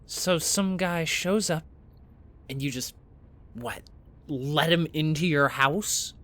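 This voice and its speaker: An actor playing a sarcastic, exasperated young man in an audio drama, incredulous and halting, with sweary outbursts. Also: mid-range voice, a bit bright. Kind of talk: naturalistic audio drama dialogue.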